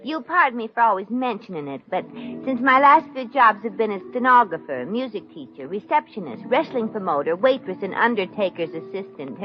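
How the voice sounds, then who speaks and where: A woman's voice: medium pitch, very animated, a little fast, natural voice. An actress playing a brash, wisecracking, good-hearted showgirl in a 1950s radio comedy, with a sassy, slangy delivery. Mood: breezy, wry. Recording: dull old radio recording, faint music.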